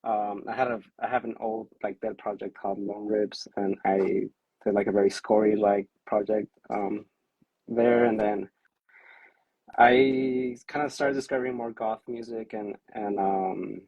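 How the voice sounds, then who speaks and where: A man on a livestream video call, chatting casually and hesitantly with many fillers, with a fairly monotone voice. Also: fairly low pitch, unhurried, slightly rough, no echo, highs cut off. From California. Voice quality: self-described monotone. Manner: hesitant, many fillers, self-described awkward and anxious. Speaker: musician on a livestream chat.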